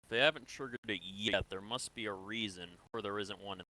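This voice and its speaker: A man playing a measured military interrogator in a sci-fi game role-play, asking probing, deliberate questions. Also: on the low side, pushed and bright, rough recording.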